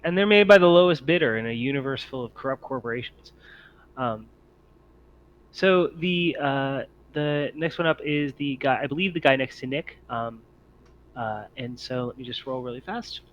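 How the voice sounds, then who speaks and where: A man's voice: medium pitch, normal speed, muffled recording. A man acting as game master, talking casually as he runs a tabletop roleplaying game.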